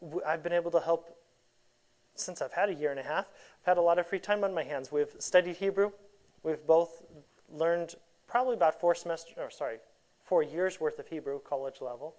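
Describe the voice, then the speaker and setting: A woman's voice: deep voice, a little fast. A woman sharing mission experiences in an easy storytelling manner. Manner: storytelling, animated when quoting. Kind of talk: casual chat.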